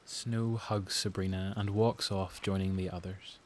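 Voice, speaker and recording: Low, even Northern Irish male narrator. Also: measured pace, a little hiss or hum. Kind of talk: scripted audio drama narration.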